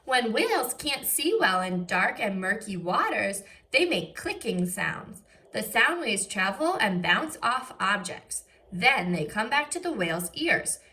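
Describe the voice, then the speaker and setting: A woman's voice: medium pitch, sing-song, measured pace, sharp tone. A woman teacher reading aloud to young children, enunciating carefully and adding short explanatory asides. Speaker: first grade teacher.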